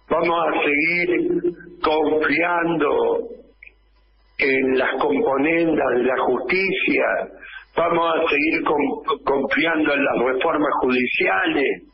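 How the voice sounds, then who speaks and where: Older Argentine man, radio editorialist, gravelly and slow, slightly dull studio sound. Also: on the high side, noisy background. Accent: Argentine Spanish. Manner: slow, impassioned, hammering rhetorical questions. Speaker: radio commentator. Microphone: studio microphone close up, wearing headphones.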